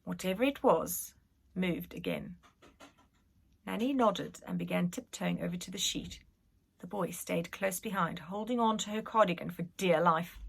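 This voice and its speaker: A woman reading a children's story aloud in an expressive, animated and dramatic manner. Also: fairly low pitch, normal speed, creaky, breathy edge. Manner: animated, dramatic, with whispered suspense. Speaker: reader, school staff member.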